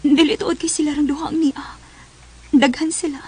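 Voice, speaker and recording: Woman acting a high-voiced, heartbroken young woman. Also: fairly even intonation, normal speed, a little mellow, natural voice. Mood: worried, brooding. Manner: emotional, pleading. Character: heartbroken young woman betrayed by her boyfriend. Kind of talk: radio drama acting and first-person narration. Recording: music behind.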